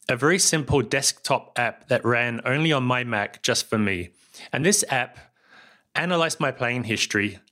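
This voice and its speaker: A middle-aged man with a New Zealand accent tells his own story as the host of a solo podcast. His voice is bright and forward, and his pitch sits at an ordinary middle height. He reads from a script at a measured, plain pace.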